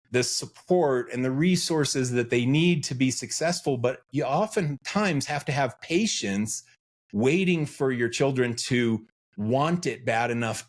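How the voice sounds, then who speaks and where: A middle-aged man from the United States talks through his ideas as a podcast guest. His voice sits in an ordinary middle register, and his manner is earnest and reflective, often turning his own questions over aloud. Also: medium pitch. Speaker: podcast guest, freelance educator. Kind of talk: conversational interview.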